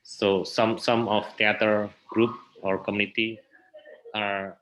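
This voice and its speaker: A man with an Indonesian accent explaining things in an interview, halting and searching for his words. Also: lowish voice, little pitch movement, fairly bright tone, a little hiss or hum, poor audio. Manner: halting, searching for words in non-native English. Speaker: interview guest, theatre researcher and festival director.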